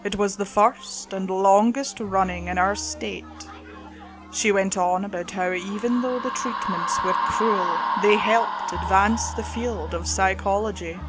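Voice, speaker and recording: A woman's voice: medium pitch, background noise. A woman reading a scripted horror story as its narrator.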